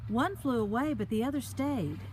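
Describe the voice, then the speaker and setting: A woman reads a picture book for young children in a slightly high voice with lots of melodic swing. She breaks off for simple, chatty asides to her listeners. She is recorded outdoors, with faint ambient noise around her. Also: lively intonation, moderate pace, a little mellow, low-quality recording. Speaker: children's story reader. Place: outdoors on a park trail.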